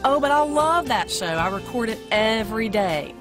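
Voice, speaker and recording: A woman's voice: on the high side, animated, moderate pace, close to an everyday voice. A woman playing a plain-spoken, folksy diner waitress in her thirties in an amateur audio play. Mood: starstruck. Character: weary mid-thirties female diner waitress, brusque, turning starstruck fangirl. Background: music.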